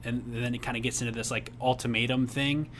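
A young man co-hosting a casual advice podcast, earnest and rambling with restarts, laughing easily, who says his voice is on the high side. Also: level tone, fast, fairly bright tone, slight background noise. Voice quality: says he has a rather high voice. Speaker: podcast co-host.

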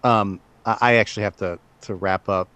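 A man co-hosting a podcast, who chats in a relaxed way with plenty of fillers and a few laughs. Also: fairly low pitch, moderate pace, a crowd in the background. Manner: relaxed, chatty, many fillers. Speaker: podcast co-host. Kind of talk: casual conversation.

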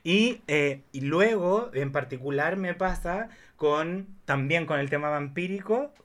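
A man, chatting casually in an expressive, campy way and telling digressive stories about films. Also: a little high, measured pace. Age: 30s. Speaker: podcast guest or co-host discussing films. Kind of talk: casual conversational chat.

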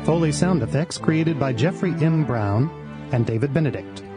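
A man's voice: a little high, expressive, normal speed. A man reading scripted credits as an announcer. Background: music.

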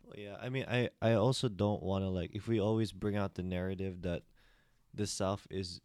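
Deep-voiced man in a casual discussion. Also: steady tone, normal speed, a little mellow, dry recording, clean studio sound. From United States. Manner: rambling with many restarts and fillers. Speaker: discussion participant.

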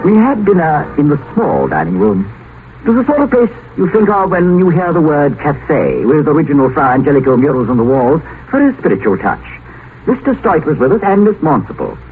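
Fussy, high-voiced male scholar. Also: big pitch swings, brisk, faint music behind. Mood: measured narration. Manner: hesitant, donnish, mildly delighted. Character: timid, fussy male scholar, bookish and dithering. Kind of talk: 1950s radio drama acting. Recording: tinny, slightly noisy old radio broadcast.

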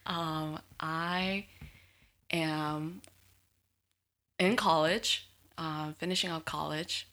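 Woman in her twenties from Korea, low voice, bright tone, podcast guest, hesitant and giggly. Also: steady tone, drawn-out words, pauses often. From South Korea. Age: 20s. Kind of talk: casual interview chat.

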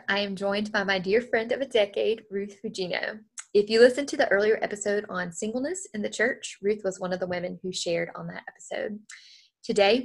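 A woman hosting an interview podcast, speaking earnestly and reading definitions aloud with care. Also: medium pitch. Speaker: podcast host.

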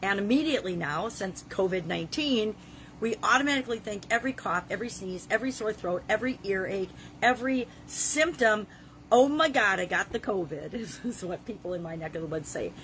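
A middle-aged woman with a gravelly voice from a cold, leading a self-help challenge in an earnest, conversational way. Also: mid-range voice, lively intonation, fairly quick, fairly bright tone, a little hiss or hum, rough recording. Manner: earnest, conversational, with frequent restarts. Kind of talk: motivational talk to camera.

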